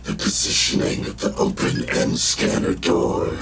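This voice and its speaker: A man narrating a dark sci-fi audio drama in a brooding, deliberate and dramatic style. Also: rumbling low, monotone, vocal fry, very noisy, exaggerated voice. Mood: ominous, deliberate. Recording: loud music behind the voice. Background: loud music.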